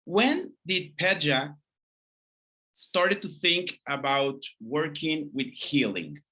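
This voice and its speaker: A man's voice: fairly high pitch, big pitch swings, slow, sharp tone, breathy edge. A man hosting an interview series who asks long, winding questions with enthusiasm. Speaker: interview host.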